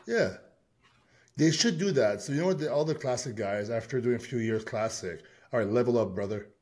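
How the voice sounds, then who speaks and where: A man in his late thirties co-hosting a casual chat, relaxed, reading things off a screen as he talks. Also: mid-range voice, fairly quick. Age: 30s. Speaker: podcast co-host.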